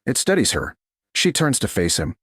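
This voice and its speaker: A man narrating a scripted romance story in a measured, deliberate way, with short dramatic phrases. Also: medium pitch, wildly swooping pitch, fairly bright tone, breathy edge, no room sound, clean studio sound.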